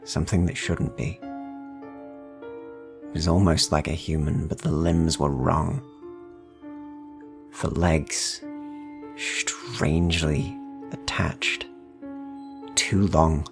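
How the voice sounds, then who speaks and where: Very deep, gravelly male game master. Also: little pitch movement, over music. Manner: deliberate, ominous, pausing. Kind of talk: slow dramatic second-person dark fantasy storytelling.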